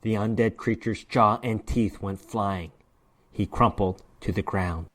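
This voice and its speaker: A man's voice: on the low side, fairly even intonation, unhurried. A man narrating a horror short story as a dramatic reading, with theatrical, emphatic delivery.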